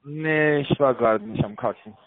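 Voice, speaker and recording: A male call centre agent in a casual phone conversation, stumbling through halting sentences with broken grammar. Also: mid-range voice. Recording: narrow, phone-like line.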